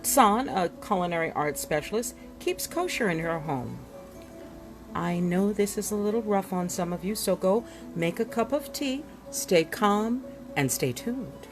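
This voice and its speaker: Expressive woman reading aloud, very lively pitch. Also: music in the background. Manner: dramatic, deliberate pauses and emphasis. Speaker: reader presenting an illustrated history book. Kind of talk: expressive read-aloud narration with asides.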